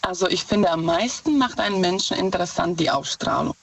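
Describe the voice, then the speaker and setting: A woman's voice: on the low side, a little fast, gravelly, breathy edge. A woman with a Czech accent calling into a radio show, nervous and hesitant with many fillers. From Czech Republic. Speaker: caller. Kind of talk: phone call-in to radio. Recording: dull distant phone line.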